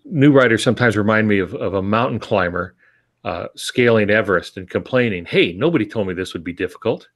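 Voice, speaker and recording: An older man who co-hosts a podcast, giving reflective writing advice in a measured, deliberate, emphatic way. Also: fairly low pitch, animated, fairly bright tone. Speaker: podcast co-host and veteran writer.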